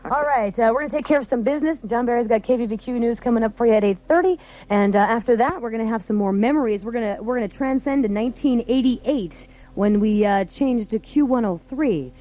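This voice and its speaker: A woman hosts a radio show in a fairly high voice. She chats live with callers from the studio, in the unscripted manner of a morning broadcast. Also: fast, few pauses, mellow, low-quality recording. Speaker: radio host.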